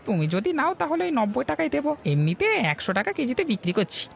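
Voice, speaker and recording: Squeaky, creaky, eager fish seller. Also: male voice, very animated, fast, some chatter behind, heavily acted. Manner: persuasive hawking. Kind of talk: cartoon voice acting, market sales patter.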